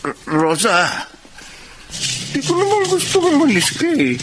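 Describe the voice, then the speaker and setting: A man's voice: very high voice, huge pitch swings, a little slow, noisy background, exaggerated voice. A Filipino man playing a remorseful, hard-drinking husband, pleading and hesitant in radio drama style. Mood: agitated. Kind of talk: emotional radio drama acting.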